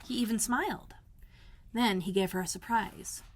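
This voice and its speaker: A woman narrating a children's storybook like a school librarian reading aloud, expressive and clearly phrased. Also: mid-range voice, moderate pace.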